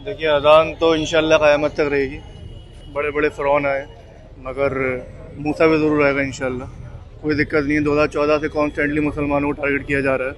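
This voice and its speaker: A man's voice: on the high side, flat delivery, a little fast, poor audio. A man giving his opinion firmly and insistently in a street interview. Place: outdoors on a city street. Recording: outdoor street with traffic behind.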